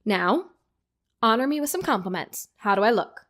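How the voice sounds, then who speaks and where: A woman's voice: on the high side, very animated, normal speed, fairly bright tone. Stressed, wry young bride, played by a woman. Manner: wry, bantering. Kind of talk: audio drama acting.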